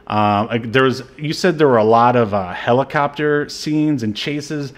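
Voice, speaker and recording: A man's voice: medium pitch, normal speed. Wry male podcast co-host. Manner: wry, sarcastic. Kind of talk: casual movie review chat.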